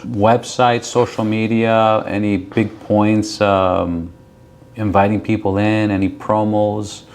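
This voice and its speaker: A man's voice: fairly low pitch, level tone, takes it slowly, darkish tone. A man chatting casually as a podcast host. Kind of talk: casual chat.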